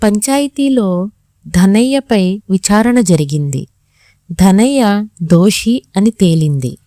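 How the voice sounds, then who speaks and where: A woman's voice: mid-range voice, animated, slow, dark tone, no room sound, clean studio sound. A woman narrating a scripted moral story for children, as a storyteller.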